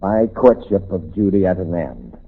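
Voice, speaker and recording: Low, husky, suave film star. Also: male voice, expressive, moderate pace, full character voice. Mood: courteous. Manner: hesitant, wry, gentlemanly. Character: suave, courteous, bemused male film star. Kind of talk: 1940s radio comedy acting. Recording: thin, tinny old-time radio recording.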